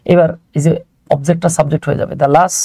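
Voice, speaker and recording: A man's voice: a little high, fairly even intonation, quick pace, vocal fry, warm tone, slightly rough, dry recording. A man with a Bangladeshi accent teaching a live online grammar lesson step by step, informal and chatty, often putting questions and answering them himself.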